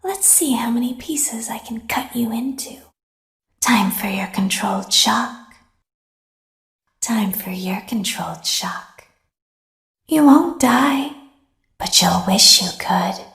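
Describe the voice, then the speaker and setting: A woman voicing a cruel, sadistic female animatronic in a video game, threatening with slow deliberation. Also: fairly high pitch, expressive, creaky, a bit bright, exaggerated voice. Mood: sadistic menace. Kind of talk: video game villain voice lines. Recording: echoey.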